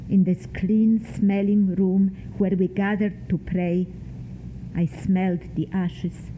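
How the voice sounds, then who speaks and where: An older woman reads her own memoir, slow and deliberate, dwelling on single words. Her pitch sits in an ordinary middle range. The sound is thin and phone-like, with a faint hiss underneath. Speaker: memoir author reading her work. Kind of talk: slow, measured literary reading.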